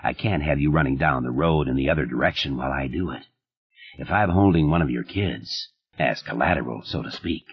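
A man reads as a quietly menacing killer, flat and cold in a low, husky register, sinking even lower and softer than the narration. Each line comes out teasing and deadly calm, on a slightly dull recording.

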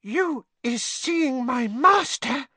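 Picture a wailing little female house-elf, squeaking far above any normal voice and bursting into loud sobs, her broken grammar tumbling out in a strange pinched tone, as a male reader puts her on. The audiobook recording is dry and close, with no room sound around it. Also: lively intonation, drawn-out words, slightly rough, no echo, exaggerated voice. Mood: tearful distraught. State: crying. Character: tearful, devoted female house-elf servant.

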